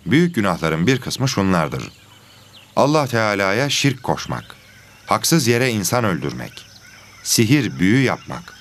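A man playing a patient religion teacher giving a scripted, deliberate lesson in an educational cartoon. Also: on the low side, a bit bright, slight background noise. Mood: solemn teaching. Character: patient male religion teacher of animal pupils.

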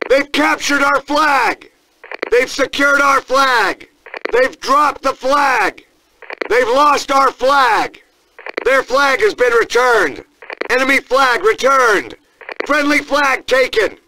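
An actor playing a fierce American Marine sergeant, barking commanding announcements in a military video game. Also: male voice, very high-pitched, slow, pushed and bright, full character voice, low-quality recording. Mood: urgent, fired up. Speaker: multiplayer announcer.

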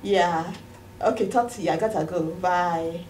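A woman acting an excitable, apologetic immigrant woman in a comedy sketch, with a Ghanaian accent. She gushes and restarts eagerly. The sound is roomy and distant, with a light hiss. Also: fairly low pitch, unhurried, close to an everyday voice. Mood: elated, gushing. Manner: gushing, hesitant restarts. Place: indoor office waiting room and counter. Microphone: far camera.

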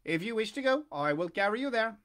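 A woman voicing a large, helpful male pigeon in a storybook, brisk and declarative. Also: fairly high pitch, animated, a bit bright.

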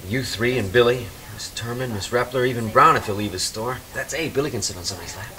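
An actor playing an anxious but matter-of-fact father in a radio drama, low-key and conspiratorial. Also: quick pace, bright, forceful tone, lightly acted, poor audio. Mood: tense.

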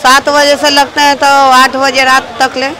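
A woman's voice: sits high, monotone, a little slow, slight background noise. A middle-aged woman fish seller, giving brief matter-of-fact answers in an outdoor market. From Patna, Bihar. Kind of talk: short interview replies. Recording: loud crowd behind.

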